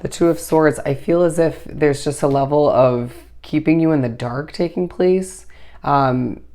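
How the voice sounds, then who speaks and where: A tarot reader talking straight to camera, hoarse from a recently lost voice. The delivery meanders through intuitive hunches and keeps turning back to the listener with reassurance. The pitch sits right in the middle. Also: a little slow, a little mellow. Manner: reassuring, addresses the listener directly. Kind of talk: solo tarot reading to camera.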